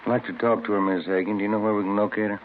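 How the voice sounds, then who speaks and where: A man playing a brisk, polite American police detective sidekick in a 1950s radio drama. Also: on the low side, fairly even intonation, lightly acted. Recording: muffled, hissy old radio recording.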